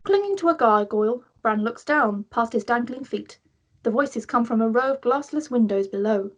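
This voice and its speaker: A woman's voice: fairly high pitch, animated, moderate pace. A woman reading stage directions as the narrator of a script table read.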